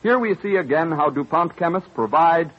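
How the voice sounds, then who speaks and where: Vintage male ad announcer: highish, animated, slightly rough husky voice, emphatic selling, muffled old broadcast sound. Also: moderate pace. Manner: emphatic, polished salesmanship. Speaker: radio announcer, sponsor spokesman. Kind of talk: scripted 1930s-40s radio commercial. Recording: dull old radio broadcast recording.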